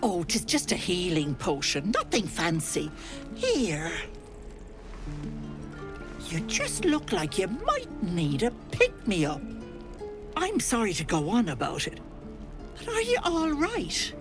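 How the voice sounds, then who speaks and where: A woman's voice: medium pitch, wildly swooping pitch, takes it slowly, long pauses, exaggerated voice. An actress voicing a fussing old woman, a disguised hag, in sugary, doting, old-fashioned tones. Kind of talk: video game dialogue. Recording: faint music behind.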